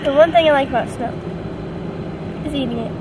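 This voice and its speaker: A woman's voice: high-pitched, fairly quick. A teenage girl vlogging from the back seat of a moving car, chatty, giggly and playful, filmed on a handheld camera at arm's length. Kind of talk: casual selfie vlog. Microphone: built-in camera held at arm's length. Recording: loud car road noise.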